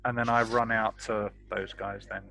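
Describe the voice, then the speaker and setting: A man's voice: lowish voice, little pitch movement, normal speed, pushed and bright, no echo. A man playing a tabletop roleplaying game, casually talking through what his character will do and ask.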